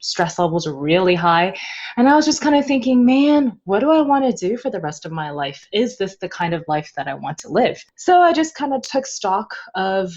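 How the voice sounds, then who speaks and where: A woman in her thirties telling her own story as a podcast guest, casual, animated and self-joking. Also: mid-range voice, moderate pace. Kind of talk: casual chat, personal storytelling. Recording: slightly dull, lo-fi audio.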